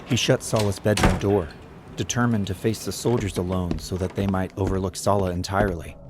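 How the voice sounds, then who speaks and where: Male audiobook narrator, low register, dramatic scripted delivery over clearly audible ambient noise. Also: fairly even intonation, a little fast.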